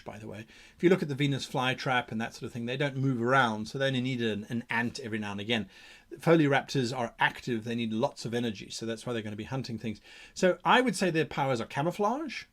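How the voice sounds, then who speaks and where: Guy hosting game master tips, kinda high voice, swoops around a lot, theatrical, thinks out loud. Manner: theatrical, thinking aloud with rhetorical questions. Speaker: host of game-mastering advice show. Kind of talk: explanatory monologue on monster creation.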